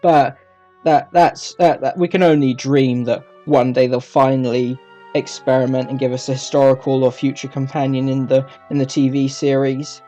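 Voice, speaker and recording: A man giving a casual review as a video host, in a halting, self-correcting way. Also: mid-range voice, flat delivery, dark tone, faint music behind. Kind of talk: casual audio drama review.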